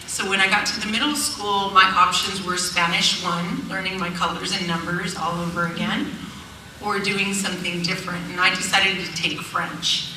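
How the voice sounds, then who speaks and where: A woman's voice: lowish voice, flat delivery, moderate pace, background noise. A middle-aged woman speaking on a panel as a teacher, earnest, with fillers and restarts. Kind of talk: panel talk. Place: event hall. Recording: echoey hall, far from mic.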